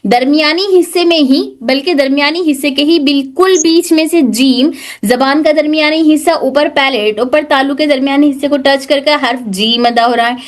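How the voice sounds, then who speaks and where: A woman's voice: high-pitched, normal speed. A woman teaching an online class who asks questions and then answers them herself, mixing English terms into her explanations. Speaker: tajweed teacher.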